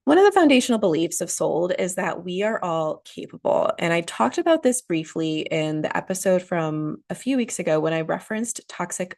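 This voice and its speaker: A woman's voice: on the low side, no room sound, clean studio sound. A woman hosting her own podcast, talking earnestly and with emphasis while nasal from a cold. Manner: earnest, emphatic, repeats key phrases. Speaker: podcast host. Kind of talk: solo motivational podcast talk.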